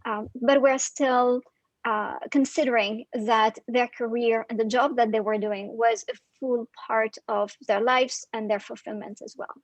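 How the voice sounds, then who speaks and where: Woman from Syria, co-host, hesitant and reflective, higher voice, dry close sound. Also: fairly even intonation, unhurried, no room sound, poor audio. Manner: thoughtful, hesitant with many fillers. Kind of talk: casual discussion.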